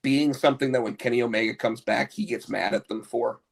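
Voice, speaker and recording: A man's voice: mid-range voice, fairly even intonation, brisk, vocal fry, breathy edge. A man co-hosting a casual talk show, stuffed up with a cold, talking in rambling bursts with lots of stammered restarts. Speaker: podcast co-host.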